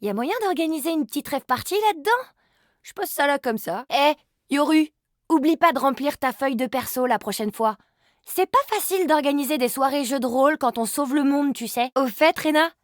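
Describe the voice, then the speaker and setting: A woman's voice: high voice, expressive, moderate pace, dry recording, clean studio sound. A woman voicing a cheeky young immortal agent in a video game, playful and sarcastic with casual, slangy delivery. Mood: playful, teasing. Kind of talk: video game character voice lines.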